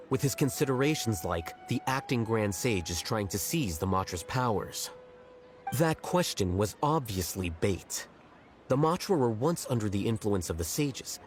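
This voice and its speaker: A cool, aloof young scholar. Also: male voice, lowish voice. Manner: dry, measured, matter-of-fact. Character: aloof young male scholar, blunt and detached.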